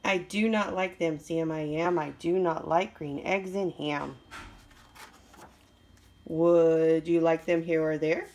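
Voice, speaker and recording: A woman's voice: low voice, steady tone, slow, a little mellow, slight background noise. Woman reading a picture book aloud.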